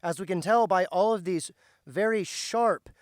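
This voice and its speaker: Slightly hoarse Midwestern man teaching outdoors. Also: high voice, unhurried, no room sound, studio quality. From central Indiana, USA. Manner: patient, step-by-step explaining. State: slightly hoarse, recovering from lost voice. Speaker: host, foraging teacher. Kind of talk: outdoor plant identification tutorial. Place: outdoors by a driveway.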